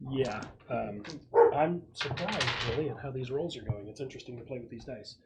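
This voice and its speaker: A man runs a tabletop roleplaying game as game master, explaining situations with halting phrasing and pauses. His pitch is middling, and the recording carries some background noise and clicks. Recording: clearly noisy room recording with clicks.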